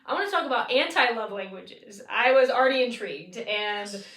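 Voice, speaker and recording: A young woman hosts a casual podcast chat, upbeat and quick to laugh. Her voice sits a little back from the microphone, and the room gives it a noticeable echo. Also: a little high, lively intonation, fairly bright tone. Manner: chatty, upbeat, laughs often. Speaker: podcast host. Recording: roomy echo, mic at a distance.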